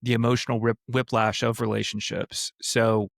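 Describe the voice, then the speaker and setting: A man's voice: mid-range voice, flat delivery, normal speed, gravelly, no room sound, clean studio sound. A man hosting an interview, asking long, carefully framed questions and giving short affirmations. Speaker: podcast host interviewer.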